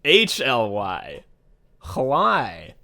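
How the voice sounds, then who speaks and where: Young guy co-hosting a podcast, chatty and loose, laughs a lot, cusses, mid-pitched voice. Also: wildly swooping pitch, drawn-out words, gravelly, pushed and bright. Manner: laughing, loose and rambling, swears freely. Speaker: podcast co-host. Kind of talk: casual podcast chat.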